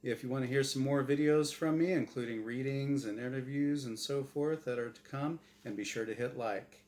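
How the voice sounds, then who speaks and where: A man's voice: mid-range voice, moderate pace, a little mellow, a little hiss or hum. A man reading his own fiction aloud as a dramatic scripted narration. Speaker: author reading his own short story.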